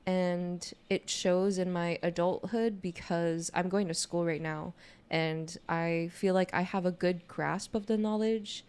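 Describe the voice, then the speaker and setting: A young adult woman with a naturally low voice chats casually on a podcast, speaking in a candid, informal way peppered with "you know". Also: fairly even intonation, measured pace, darkish tone, clean tone. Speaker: podcast co-host. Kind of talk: casual conversational podcast chat.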